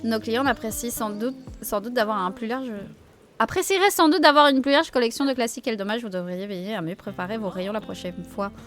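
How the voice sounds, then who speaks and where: A woman's voice: fairly high pitch, fairly quick, soft background music, close to an everyday voice. A woman reading as a haughty café owner. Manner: prim, condescending, formal.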